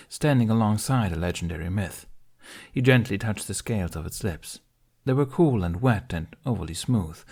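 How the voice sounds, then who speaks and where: A man with a low-pitched, husky voice narrates an audiobook. His delivery is scripted, dramatic fantasy storytelling. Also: darkish tone.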